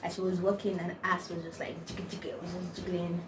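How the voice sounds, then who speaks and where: A woman's voice: lowish voice, level tone, fast talker. A young woman with a Nigerian accent, chatting to camera and rambling through stories with lots of "like" and slang. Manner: rambling storytelling, constant "like", slangy. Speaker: vlogger. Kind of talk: casual chat to camera. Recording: distant mic, noisy background.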